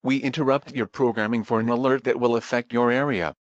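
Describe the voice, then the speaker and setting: A man's voice: mid-range voice, steady tone, fairly quick, no room sound, lo-fi sound, close to an everyday voice. A man making a formal scripted announcement as an emergency broadcast announcer.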